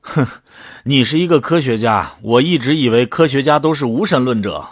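A man's voice: mid-range voice, animated, moderate pace, breathy edge, no room sound, studio quality. A man playing a sceptical commando recruit who asks blunt questions in an audio drama.

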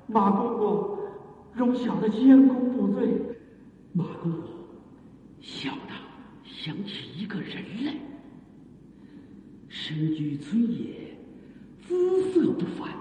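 A man's voice: high voice, big pitch swings, slow pace, long pauses, dark tone, slight background noise, full character voice. An actor playing a fawning, blustering palace eunuch steward in a Chinese period radio drama, obsequious to his betters and barking at underlings. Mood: nervous, pleading. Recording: phone-like lo-fi, long echoing reverb.

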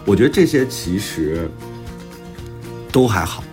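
A man's voice: fairly low pitch, very animated, a little slow. A man speaking as an author in a casual book talk, explaining his thinking in a reflective way. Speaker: author and podcast host discussing their own book. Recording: music playing behind the talk. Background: background music.

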